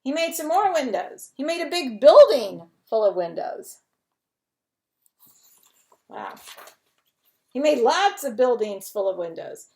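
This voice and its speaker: Female storyteller, higher-pitched voice, expressive bedtime read-aloud for young children, slipping into chatty asides. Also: takes it slowly, pauses often. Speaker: storyteller reading a children's picture book.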